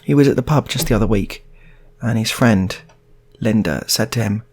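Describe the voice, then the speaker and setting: A man's voice: on the low side, normal speed, a little mellow, slightly rough. A man telling an improvised story in a casual, rambling way, often repeating his phrases. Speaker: storyteller, channel host.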